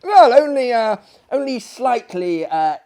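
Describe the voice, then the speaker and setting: A man acts out a genteel, nervous visitor from a storybook, his voice lifted high. He asks his curious questions tentatively and politely, halting often as he goes. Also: big pitch swings, darkish tone, full character voice. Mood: apologetic, nervous.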